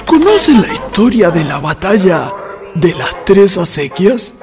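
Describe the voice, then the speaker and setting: A man acts out a courteous old Chilean storyteller in a radio play. His voice sits high and moves with great theatrical swings, as if drawing listeners into a tale. Soft music plays behind him. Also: sing-song, measured pace, exaggerated voice. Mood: mysterious, theatrical. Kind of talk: Spanish-language radio drama acting.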